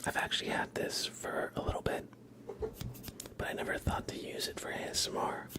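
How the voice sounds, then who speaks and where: A man hosting an ASMR livestream, chatting casually in a soft, hushed, gentle manner. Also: fairly quick. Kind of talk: whispered ASMR, casual rambling chat.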